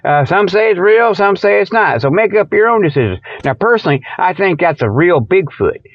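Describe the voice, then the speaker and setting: A man hosting a radio show, delivering a rambling, irreverent comic monologue with mock sales pitches in a folksy, tangent-prone way. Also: expressive, fairly quick, no echo. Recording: dull lo-fi radio sound.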